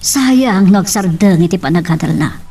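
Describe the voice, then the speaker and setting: A woman's voice: on the low side, animated, moderate pace, warm tone, far from the mic, a little hiss or hum. A woman playing a concerned middle-aged mother in an Ilocano radio drama, with a Filipino Ilocano accent. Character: concerned middle-aged mother defending her child's freedom.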